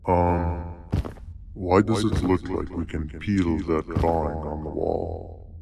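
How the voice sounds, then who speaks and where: A man voicing a curious, measured monster companion in a video game, with a low-key, even delivery. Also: vocal fry, background noise, heavily acted. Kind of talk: video game cutscene acting.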